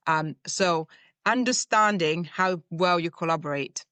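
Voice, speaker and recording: A woman's voice: lowish voice, a little slow, bright, forceful tone, no echo. A woman from Zambia, a leadership coach, answering interview questions in a reflective way with everyday examples.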